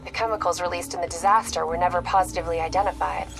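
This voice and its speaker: An actress playing a commanding intelligence operative in a video game, clipped and authoritative in delivery. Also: female voice, on the low side, fairly even intonation, very fast, over music, lightly acted. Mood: focused, firm. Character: urgent, commanding female intelligence operative guiding soldiers. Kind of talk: dramatic video game acting.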